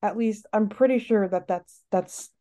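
Woman podcast co-host, sniffly, mellow tone, slow delivery, casual and rambling. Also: medium pitch. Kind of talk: casual chat.

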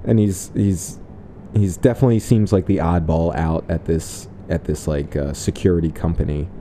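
A man with a low, very mellow voice co-hosts a casual film podcast. He jokes a lot, slipping into mock voices for the characters he describes. Faint noise sits under the recording. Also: steady tone, moderate pace. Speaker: podcast co-host.

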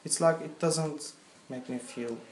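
Young Turkish-accented man, halting English. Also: on the high side, darkish tone. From Turkey. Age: young adult. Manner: halting, searching for words in English. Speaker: interviewee. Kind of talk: casual interview answers. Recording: echoey room with faint ambient noise.

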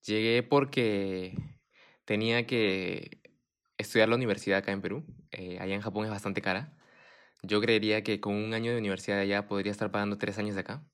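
A man's voice: fairly low pitch, brisk. Young man chatting casually. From Japan. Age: young adult. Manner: relaxed, chatty, many fillers and restarts. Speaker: podcast guest. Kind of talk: casual chat.

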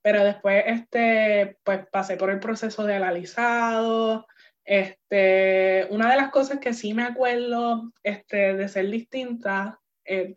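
Young woman, interview guest, candid, code-switching, lots of fillers, mid pitch, muffled sound. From United States. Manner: candid, mixes English words into Spanish, many fillers. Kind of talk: personal storytelling in an interview.

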